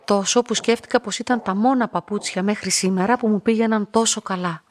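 A woman playing a lonely, submissive young narrator in a literary radio drama, speaking in a measured, introspective way. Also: medium pitch, steady tone, slight background noise, close to an everyday voice. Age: young adult. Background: music.

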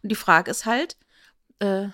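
A woman's voice: mid-range voice, measured pace, pushed and bright. A woman co-hosting a podcast in casual chat, explaining things in a chatty, lightly ironic way. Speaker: podcast co-host.

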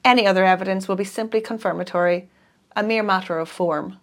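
A woman reading the lines of a soothing, measured male family lawyer. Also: medium pitch, close to an everyday voice.

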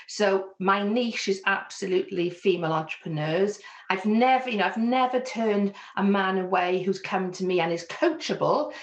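A woman with a Welsh accent, a business coach answering interview questions in an earnest, reflective way. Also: lowish voice. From Wales. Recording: echoey room.

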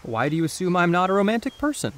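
A man's voice: high voice, sing-song, fast talker. A man playing a guarded, defensive but sensitive teenage boy in a naturalistic audio drama, with hesitant delivery. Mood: defensive, hurt.

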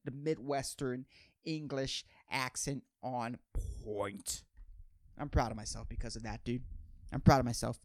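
A man's voice: medium pitch, dry recording, studio quality. A man hosting a podcast, talking in a casual, rambling, slangy and profane comedic monologue, often repeating phrases for emphasis. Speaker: podcast host.

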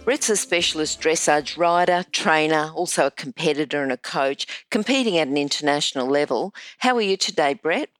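A woman's voice: low voice, fairly quick, hardly any pauses, no room sound, faint music behind. A woman hosting an interview, asking questions in a hesitant way and rephrasing them as she goes. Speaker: interviewer, host.

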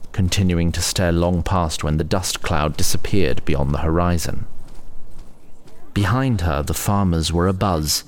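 A man narrating a scripted fantasy story in a deliberate storytelling delivery. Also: low voice.

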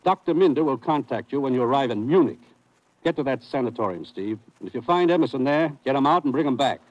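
An actor playing a brisk, dryly authoritative intelligence commissioner in a 1950s radio drama. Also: male voice, mid-range voice, expressive, natural voice. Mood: brisk, grave. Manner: crisp, matter-of-fact, dryly authoritative. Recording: dull old radio recording.

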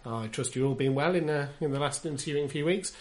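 A man's voice: on the high side, brisk, a little mellow, soft background music. A British man hosting a gaming podcast in a mock-formal, wordy, tongue-in-cheek way, reading out scripted jokey material. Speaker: podcast host.

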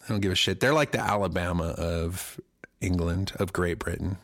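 A man's voice: deep voice, normal speed, a bit bright, dry recording, studio quality. A male podcast co-host and singer getting over a cold, joking crudely in a rambling, casual way.

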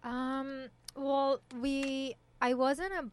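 A woman's voice: high-pitched, flat delivery, drawn-out words, slight background noise. A woman from Iran, in her thirties or forties, with a slight hard-to-place foreign accent, chatting informally as an interview guest. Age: 30s or 40s. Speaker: podcast guest. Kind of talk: casual conversational interview.

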